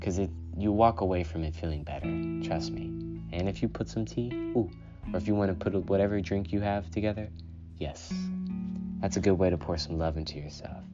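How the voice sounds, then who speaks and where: A man with a very low voice hosts a solo self-care podcast and talks in a calm, unhurried way, often saying "you know". The recording sounds dull and lo-fi. Clear guitar music plays behind him. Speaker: podcast host.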